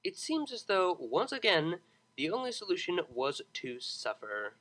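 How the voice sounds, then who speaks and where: A man reading a scripted story as an expressive audiobook narrator, with a dramatic, wry delivery. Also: sits high, measured pace. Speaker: narrator, fan fiction audiobook reader.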